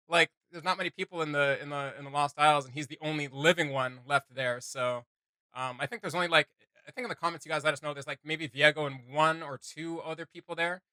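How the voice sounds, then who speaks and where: A man's voice: a little high, pushed and bright, no room sound. A man hosting a reaction video with casual, off-the-cuff commentary, blunt and sarcastic as he rambles.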